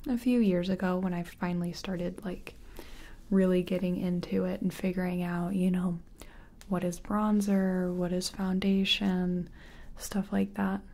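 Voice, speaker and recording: A woman speaking in a breathy whisper and soft tones, gently chatting in an ASMR personal-attention roleplay as a makeup artist. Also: medium pitch.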